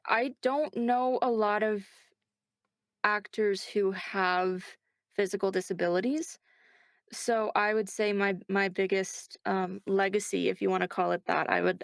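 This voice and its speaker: A woman actor being interviewed, chatting casually in a thoughtful, measured way and often checking in with the listener. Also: mid-range voice, fairly even intonation, vocal fry, dry recording.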